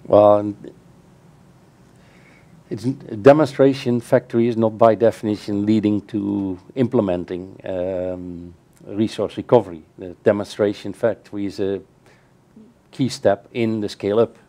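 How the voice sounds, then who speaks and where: A middle-aged man with a low, mellow voice explains his views in a measured way, often restarting his sentences. He speaks from a table in a bright room by large windows during a panel discussion. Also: fairly even intonation. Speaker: keynote speaker. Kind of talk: answering questions in a panel discussion.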